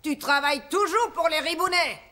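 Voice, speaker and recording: A high-pitched, scolding tavern boss. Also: female voice, normal speed. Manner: sharp-tongued, nagging. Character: bossy, scolding female tavern mistress. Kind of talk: French cartoon voice acting.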